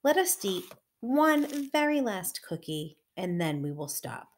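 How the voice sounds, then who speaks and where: A woman's voice: mid-range voice, sing-song, measured pace. Doubting childlike storybook toad read by a woman. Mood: eager, questioning. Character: male storybook toad, childlike, doubting, cookie-loving friend.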